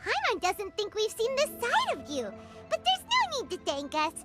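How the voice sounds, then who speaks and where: A tiny, excitable fairy girl from a game chirps in a very high, squeaky, childlike voice that leaps all over the place. She talks about herself in the third person, bouncing between curiosity and surprise. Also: normal speed, over loud music, full character voice. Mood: curious. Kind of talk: game voice acting.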